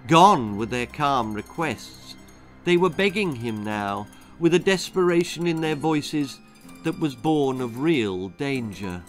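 An older man reading a children's horror story aloud in a deliberate, theatrical way. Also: medium pitch, expressive, faint music behind. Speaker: author reading his own children's story. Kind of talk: dramatic storytelling, scripted narration. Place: small book-lined room indoors.

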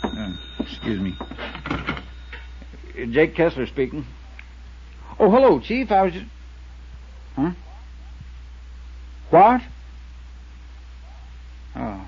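An American actor playing an uneasy, questioning associate of a detective in a 1950s radio drama, with a husky voice. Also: male voice, on the high side, huge pitch swings, extremely slow, long pauses, a little mellow, slight background noise, full character voice. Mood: thoughtful, uneasy. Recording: muffled 1950s radio recording.

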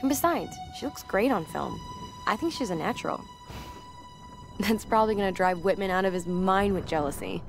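Casting: chatty, spirited young woman filmmaker, game diary, medium pitch, light music bed. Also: moderate pace, creaky. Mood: exasperated, confiding. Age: young adult. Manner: conversational, candid, expressive. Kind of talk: casual video diary in a game.

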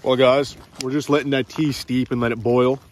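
A young man with a thick Newfoundland accent talks eagerly to his viewers while cooking and fishing outdoors. His voice sits at an ordinary pitch and is picked up close on a handheld camera, with a faint hiss of open air behind it. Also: little pitch movement, moderate pace. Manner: enthusiastic, chatty, addresses viewers as guys. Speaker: outdoor vlogger. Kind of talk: casual outdoor vlog chat. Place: outdoors by a river in snow. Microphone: phone or action camera held close.

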